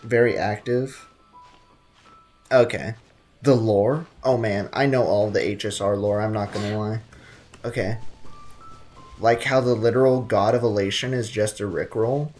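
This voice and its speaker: A man's voice: fairly low pitch, fairly even intonation, a little slow, long pauses, darkish tone. Jokey young man streaming a game. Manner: jokey, slangy running asides. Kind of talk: casual live gameplay commentary. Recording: game music behind.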